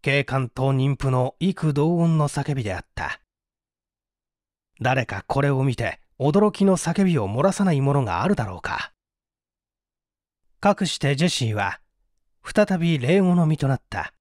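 A man's voice: medium pitch, very animated, a little slow, pauses often, a little mellow, dry recording, studio quality. A man narrating a mystery story in a formal, theatrical, suspenseful literary reading style.

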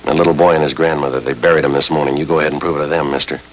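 Unflappable male police sergeant, firm, deep, clipped delivery, muffled old TV crime drama sound. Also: fairly even intonation, very fast. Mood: stern. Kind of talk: 1950s television crime drama acting. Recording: dull 1950s TV soundtrack, faint noise.

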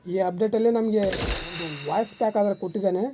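Girl doing chatty gameplay commentary, medium pitch, noisy recording w clicks. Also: fairly even intonation, fast. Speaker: gaming channel host. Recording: clearly noisy background with clicks.

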